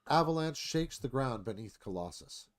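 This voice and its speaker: A man's voice: medium pitch, lively intonation, normal speed. A man narrating a screenplay, reading action and stage directions as dramatic scripted narration.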